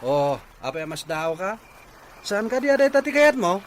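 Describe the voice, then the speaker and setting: Sullen, high-pitched Ilocano husband. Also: male voice, very animated, moderate pace. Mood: bitter, heated. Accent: Filipino, Ilocano. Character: sullen, work-shy husband and father in a quarrelling marriage. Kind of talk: Ilocano radio drama acting. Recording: noisy radio drama recording.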